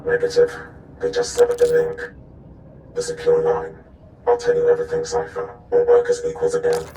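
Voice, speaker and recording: A man's voice: extremely high pitch, monotone, normal speed, warm tone, roomy sound, soft background music, rough recording. A man voicing a cold, guarded intelligence analyst in a video game, speaking in clipped, terse phrases. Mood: grave, urgent.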